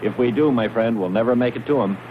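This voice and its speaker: An actor playing a gruff, wary space explorer with a terse, dry delivery in a 1960s science fiction film. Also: male voice, medium pitch, fast, lightly acted. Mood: wary, skeptical. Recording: dull, noisy old film soundtrack.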